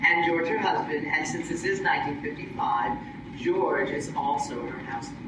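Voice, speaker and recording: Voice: mid-range voice, normal speed, background noise. An announcer reading a scripted introduction in formal, theatrical 1940s radio style. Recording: echoey hall from far off. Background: music.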